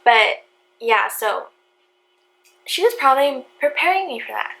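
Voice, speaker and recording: A quiet, soft-spoken young woman chatting to her camera about her past. Her voice sits a little high, and she talks in a loose, rambling way, stacking up fillers. She laughs lightly between phrases. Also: lively intonation, a little slow, vocal fry, low-quality recording. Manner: filler-heavy, lots of "like" and "you know". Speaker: vlogger. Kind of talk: casual storytelling to camera.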